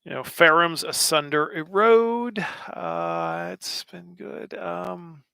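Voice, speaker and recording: A man's voice: a little high, expressive, takes it slowly, creaky, sharp tone, clean tone, no room sound, studio quality. An American man in his thirties hosting a casual livestream, answering chat questions in a rambling, wry way with lots of fillers. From United States.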